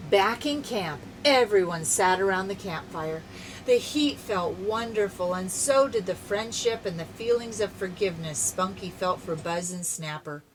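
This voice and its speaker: An older woman, a grandmother, reading a children's story aloud in an animated, dramatic way. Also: medium pitch, unhurried, a little hiss or hum, rough recording.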